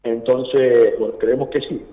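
A man's voice: medium pitch, steady tone, a little slow, dry recording. A man in his thirties with an Argentine accent, explaining a policy earnestly over a phone interview. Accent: Argentine Spanish. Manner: earnest, explanatory, asks for agreement. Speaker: nightlife business representative. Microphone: phone call. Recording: tinny phone line.

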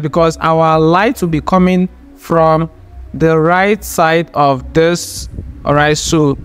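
A man with a high voice leads a software tutorial. He explains things step by step in an informal way, punctuating the instructions with small check-ins. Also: smooth. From Nigeria. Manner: informal, step-by-step, often says "all right". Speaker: tutorial host. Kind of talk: software tutorial, talking through steps. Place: voice-over for a screen recording.

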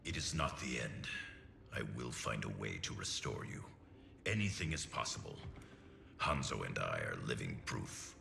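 A man playing a middle-aged ninja grandmaster in a video game, honourable and stern, with grave, measured, formal delivery. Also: rumbling low, big pitch swings, creaky, a little hiss or hum, heavily acted. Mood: solemn resolve. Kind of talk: cinematic video game acting. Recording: echoey, under loud music.

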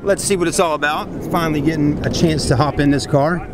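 An excited man with a drawl films himself with a handheld camera, talking fast and eagerly. His voice sits a bit high. Steady noise fills the background. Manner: enthusiastic, chatty, exclaiming. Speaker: car vlogger. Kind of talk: excited casual vlog. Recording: noisy outdoor and car sound.

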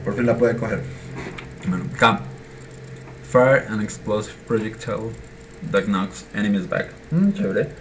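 A man's voice: on the low side, expressive, measured pace, long pauses, fairly bright tone, slight background noise. A young Colombian man with a mixed Colombian and Argentine accent, chatting and grumbling while streaming a game from a small room at home on a cheap microphone. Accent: Colombian Spanish with Argentine mix. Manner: grumbling, chatty, reacting to play. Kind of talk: casual live-stream commentary. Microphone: cheap desk mic. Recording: game music under a cheap mic. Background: game music.

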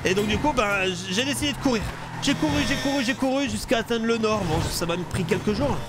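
A man streaming an improvised game roleplay, talking in an excitable, theatrical way. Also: extremely high pitch, brisk. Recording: noisy game sound behind voice.

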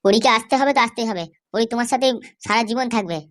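A cocky cartoon schemer, played by a woman, with a high-pitched voice and extremely quick delivery. The manner is boastful and threatening, always sure of winning. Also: lightly acted. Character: cocky, defiant schemer and ally in a comedy cartoon. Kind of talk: exaggerated cartoon dialogue.

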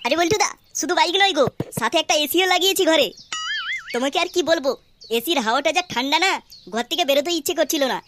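A woman's voice: very high voice, quick pace, noisy background. A woman voicing a cheeky, boastful boy in a comedy cartoon, with a teasing manner. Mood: gloating, teasing. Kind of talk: Bengali comedy cartoon voice acting.

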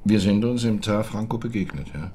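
An actor playing a courteous, guarded middle-aged widowed ambassador in a radio crime drama, speaking formally and with measured hesitation. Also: male voice, fairly low pitch, mellow, a little hiss or hum, close to an everyday voice. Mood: defensive, formal.

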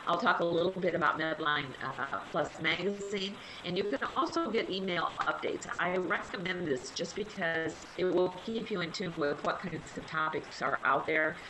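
A woman with a low, slightly nasal voice, stuffed up from a cold, walks listeners through online resources in an easy, conversational way. Her speech is loose and friendly, with plenty of small fillers. The audio carries a clear layer of background noise, as in a web conference. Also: normal speed, hardly any pauses. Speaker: health library liaison presenting a webinar. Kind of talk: casual webinar presentation.

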